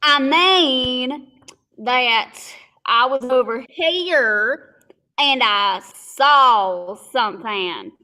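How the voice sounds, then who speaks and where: A woman's voice: high voice, expressive, pushed and bright, exaggerated voice. A woman doing a drawling country farmer bumpkin. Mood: bumbling. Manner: drawling, folksy country talk, slow and halting. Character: slow-talking male farmer bumpkin, rural, boastful. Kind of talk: stage radio play acting.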